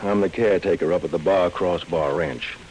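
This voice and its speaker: An older American ranch caretaker, acted by a man with a lowish voice. He is calm, folksy and measured, in a 1940s radio play with faint noise behind. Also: natural voice, poor audio. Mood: calm, concerned. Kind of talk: 1940s radio drama acting.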